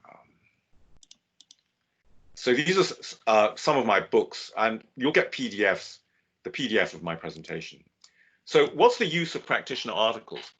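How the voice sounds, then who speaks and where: An older man, a business professor, giving a measured webinar lecture with occasional fillers and restarts. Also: lowish voice, big pitch swings, fairly bright tone, husky. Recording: dull lo-fi webinar audio.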